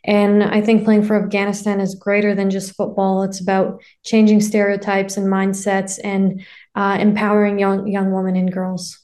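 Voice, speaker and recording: Woman guest, earnest, flat even tone, lots of you knows. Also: medium pitch, normal speed. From Canada. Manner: earnest, fillers like you know. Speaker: guest, footballer. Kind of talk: casual interview talk.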